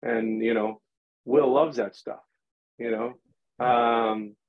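A man who owns a gym talks as a podcast guest. He rambles quickly and restarts his sentences often. His voice sits in a middle range and comes through a slightly dull, muffled line. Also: mid-range voice, steady tone. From New York City. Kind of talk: casual podcast interview.

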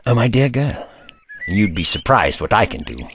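A man's voice: fairly low pitch, very animated, moderate pace, heavy background noise. A man playing a kindly, whimsical, eccentric Doctor in an audio drama, with a theatrical, courtly manner. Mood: gentle, reassuring. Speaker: voice actor.

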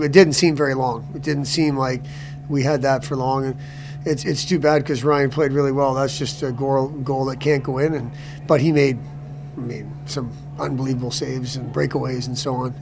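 Halting middle-aged coach at a press conference. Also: male voice, mid-range voice, level tone, gravelly, background noise. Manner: halting, repeats words, many restarts. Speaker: hockey coach. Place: press room before a sponsor backdrop.

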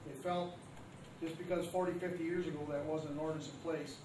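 A male council member talks plainly through a public meeting. His voice is slightly high and distant from the mic. Echo and room noise surround him. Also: fairly even intonation, quick pace. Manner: plainspoken, conversational. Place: council chamber. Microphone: far room camera. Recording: echoey room from far off with background noise.

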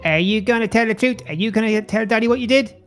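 A woman's voice: on the high side, fast, soft background music, natural voice. A woman playing a bossy, anxious young son who speaks urgently and repeats phrases in an amateur role-play story. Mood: threatening.

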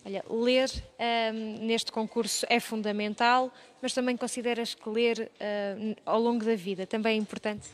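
A woman's voice: on the high side, little pitch movement, measured pace, few pauses. A woman reporter with a European Portuguese accent, asking short, friendly questions into a handheld mic in a library hall. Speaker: local TV reporter. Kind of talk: interview questions.

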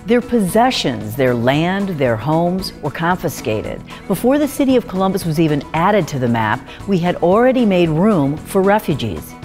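Animated low-voiced American woman narrating. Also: normal speed, few pauses, soft background music. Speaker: documentary narrator. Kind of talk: scripted documentary narration.

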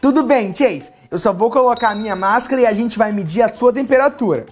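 A man's voice: very high-pitched, lively intonation, a little fast. A young man with a Brazilian accent presenting a playful children's story as a toy doctor, speaking in simple, child-directed phrases. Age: young adult. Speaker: children's video host playing a toy doctor. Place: small furnished playroom studio, indoors. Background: background music.

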